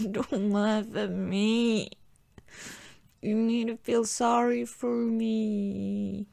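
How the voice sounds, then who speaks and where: A woman acts out a pouting, playfully whiny girlfriend in an intimate ASMR roleplay. She talks very slowly and haltingly, pleading in a giggly mock sulk. The sound is close and very dry. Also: on the high side, level tone, gravelly, dark tone, no echo, heavily acted. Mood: pouty, amused.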